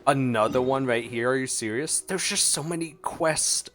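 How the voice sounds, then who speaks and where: A man giving casual, expressive gameplay commentary, talking to himself playfully as he plays. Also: medium pitch, moderate pace. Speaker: gaming streamer. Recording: game music behind voice. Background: video game music.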